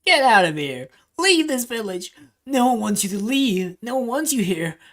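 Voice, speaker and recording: A man voicing a whiny village bully boy who yells shrilly. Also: sing-song, moderate pace, full character voice. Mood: yelling. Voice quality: shrill yelling.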